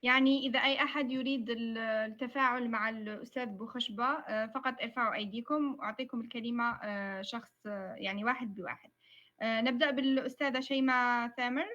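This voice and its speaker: A woman moderating an online Q&A, talking briskly with many filler sounds over a video call. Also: a little high, steady tone, sharp tone. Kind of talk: hosting an online Q&A. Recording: dull, lo-fi call audio.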